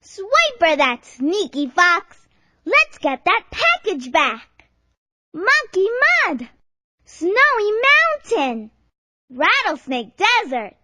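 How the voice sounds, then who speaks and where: An actress playing a cheerful, helpful young girl explorer in a children's educational game, encouraging and clearly exclaiming each line. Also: extremely high pitch, huge pitch swings, pushed and bright, no echo, clean studio sound, full character voice. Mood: eager. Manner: exclaiming, encouraging, deliberate enunciation.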